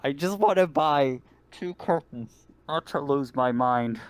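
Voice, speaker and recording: A man's voice: medium pitch, expressive, measured pace, no echo, close to an everyday voice. Man as an exasperated, grumbling space officer. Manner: grumbling, profane, argumentative. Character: exasperated, sweary male spacefaring officer, haggling customer. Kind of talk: improvised tabletop roleplay.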